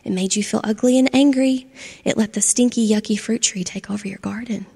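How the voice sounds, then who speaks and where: A woman voicing a wise, gentle old oak tree in a storybook, speaking in a soft, hushed whisper. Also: mid-range voice, steady tone, brisk, creaky, breathy edge, heavily acted. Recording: long hall reverb.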